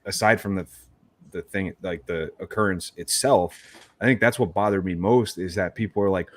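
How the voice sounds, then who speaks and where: A man with a very low voice co-hosting a casual sports podcast. He rambles and piles on emphasis, sounding exasperated, and the recording is dry and close. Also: normal speed, dry recording. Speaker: podcast co-host.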